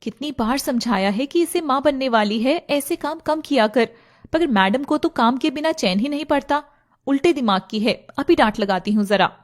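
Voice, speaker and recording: Woman voicing a high, chatty, bossy young woman. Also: lively intonation, moderate pace. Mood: playful scolding. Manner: chatty, playfully scolding. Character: bossy, affectionate, teasing young female relative. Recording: music playing behind the voice.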